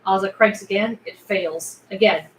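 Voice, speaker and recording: A woman's voice: mid-range voice, normal speed, a bit bright. A woman narrating the scene directions of a film script at a table read. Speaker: stage-direction reader. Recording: roomy echo with clear background noise.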